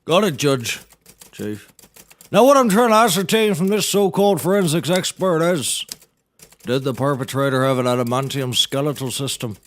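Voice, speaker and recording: A man's voice: on the high side, expressive, moderate pace, lightly acted. A man playing a bumbling, blustering seaman turned lawyer in a scripted comedy audio drama, rambling in a folksy, theatrical way. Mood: blustering, confident. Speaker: defense lawyer in courtroom comedy.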